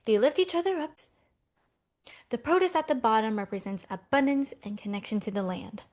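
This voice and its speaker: A woman's voice: fairly high pitch, fairly quick, a little mellow, natural voice. A woman playing an anxious, practical, easily exasperated millennial twin in a romcom table read, earnest and insistent. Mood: reading aloud.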